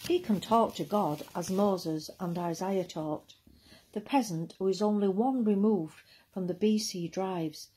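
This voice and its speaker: Female poetry reader, mellow and slightly husky, expressive recital delivery. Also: on the low side.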